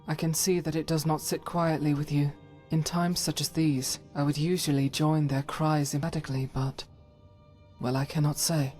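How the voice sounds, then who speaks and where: A woman's voice: low-pitched, flat delivery, moderate pace, a little mellow, soft background music, lightly acted. A woman playing a devout, stern warrior companion in a fantasy game, speaking solemnly in formal, archaic phrases. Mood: conflicted, solemn.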